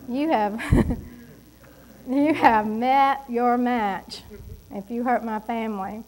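A middle-aged woman delivers a sermon from a church pulpit. Her voice sits high, and she preaches with emphatic, animated energy and quick asides to the congregation. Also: poor audio. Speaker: pastor. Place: church stage at a wooden pulpit.

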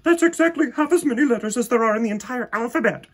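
A man's voice: extremely high pitch, lively intonation, quick pace, husky, pitched above the performer's own voice, full character voice. A man voicing a bossy, snobbish little girl mouse in a storybook, smug and taunting. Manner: smug, know-it-all, taunting.

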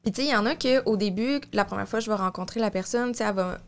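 A woman's voice: mid-range voice, rapid-fire. A young woman with a Québécois French accent, a support worker explaining things as a guest on a podcast in a small studio, with many fillers and self-corrections. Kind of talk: casual podcast discussion. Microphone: studio mic on boom arm, headphones.

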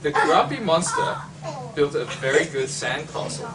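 A young man reads a children's storybook aloud in a high voice that swoops up and down. He takes his time, with dramatic pauses for young listeners. The microphone sits at a distance. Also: crowd noise. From Australia. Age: young adult. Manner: expressive, dramatic pauses and exclamations. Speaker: picture book author reading aloud. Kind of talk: animated children's storybook reading. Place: library, among bookshelves. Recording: distant mic, noisy room ambience.

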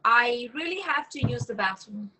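A woman's voice: fairly high pitch, animated, normal speed, pushed and bright, breathy edge, exaggerated voice, rough recording. A young woman playing a scheming female captor at a film table read on a video call. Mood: polite, casual. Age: young adult. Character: gloating young female captor with evil laugh. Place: indoor room on a video call.

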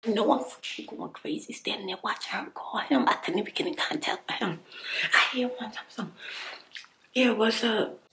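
A woman's voice: medium pitch, a little fast, vocal fry, pushed and bright. A woman telling a personal story in a heated whisper, emphatic and exasperated, swearing and repeating herself in casual slang.

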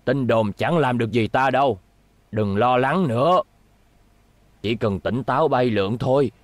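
A man's voice: medium pitch, unhurried, no echo, lightly acted. A man voicing a proud, stubborn old station chief and father in a dubbed cartoon, with a firm, weighty delivery. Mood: stubborn, reassuring. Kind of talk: animated series dub acting.

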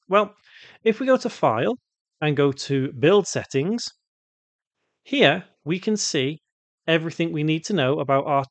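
A man presenting an unscripted software tutorial, explaining step by step and posing questions that he answers himself. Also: on the high side, dry recording.

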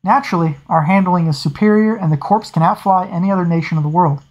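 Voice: mid-range voice, fast, natural voice. A reader voicing a stern, commanding male naval captain who speaks formally and snaps when challenged, on a dull lo-fi recording.

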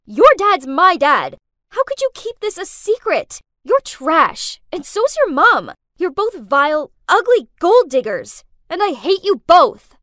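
A woman's voice: sits high, sing-song, normal speed, no echo, clean studio sound. A woman voicing a hot-tempered, jealous young woman in a dramatic story, melodramatic and emphatic. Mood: screaming rage.

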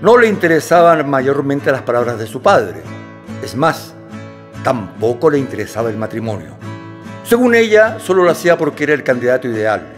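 A man's voice: medium pitch, lightly acted. A man narrating a scripted radio drama as a composed, literary storyteller.

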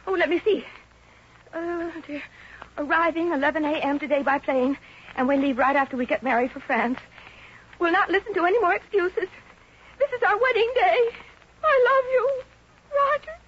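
A woman's voice: very high voice, moderate pace, pauses often. An actress playing a plain, bookish, lovelorn small-town young woman, fretful and earnest, in 1950s radio comedy style. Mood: nervous, panicked. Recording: dull, hissy 1950s radio recording.

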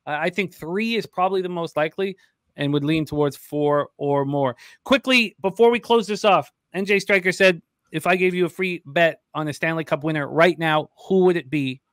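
A man's voice: a little high, moderate pace, fairly bright tone, dry recording. A man in his forties hosting a casual live sports betting show, speaking into a close desk microphone. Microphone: large desk microphone up close.

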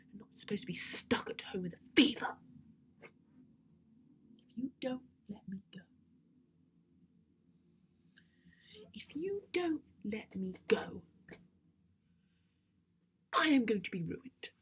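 A woman's voice: medium pitch, slight background noise, natural voice. A woman performing a comedic stage monologue as a dramatic, pleading girl of nearly sixteen, exasperated and whiny. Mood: desperate, melodramatic.

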